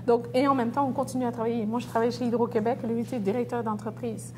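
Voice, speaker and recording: A woman's voice: a little high, flat delivery, fast, a little hiss or hum. A middle-aged woman from Belgium giving conversational answers as an entrepreneur in an interview. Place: restaurant.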